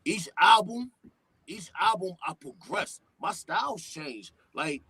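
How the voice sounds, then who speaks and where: A man, a hip-hop artist who calls his own voice deep, though it sits a touch higher than most men's. He rambles in animated, streetwise slang and swears freely, and the recording is dry and close to the mic. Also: slow pace, breathy edge, no echo. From New York. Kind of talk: casual podcast chat.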